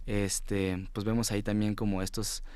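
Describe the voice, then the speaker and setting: A man's voice: fairly low pitch, little pitch movement, normal speed, a little mellow, smooth, dry recording. A man with a Mexico City accent speaking casually as an interview guest, hesitating, repeating words and often tagging phrases with a questioning "no?". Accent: Mexico City Spanish. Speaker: interview guest, music writer and editor. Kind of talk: casual radio-style interview.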